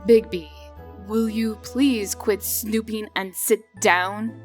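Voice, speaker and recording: A woman playing a stern, exasperated official in a comic dub, brisk, scolding and authoritative. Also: medium pitch, fairly even intonation, faint music behind, natural voice. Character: stern, exasperated, businesslike female city official.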